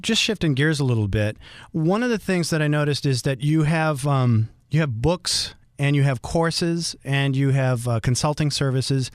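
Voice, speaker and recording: A man with a baritone voice hosting a podcast interview, asking polite, thoughtful, winding questions. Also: lively intonation, moderate pace, no echo, clean studio sound. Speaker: podcast host.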